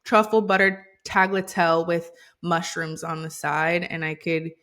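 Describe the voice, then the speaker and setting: A woman's voice: fairly low pitch, flat delivery, a bit bright. Upbeat woman from Hawaii, podcast guest. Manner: upbeat, fast-talking with fillers. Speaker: podcast guest, business coach. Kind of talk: casual interview chat.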